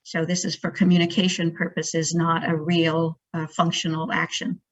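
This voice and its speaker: A woman researcher answering academic questions informally, haltingly thinking aloud and repeating words as she goes. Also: low-pitched, completely flat delivery. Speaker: primate researcher, guest speaker. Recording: dull lo-fi sound.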